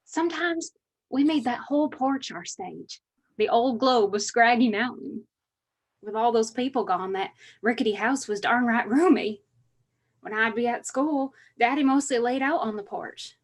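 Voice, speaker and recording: An actress playing a bookish, wistful mountain daughter in a stage monologue, storytelling lyrically with an Appalachian accent. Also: female voice, a little high. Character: bookish, wistful mountain daughter reminiscing about her father.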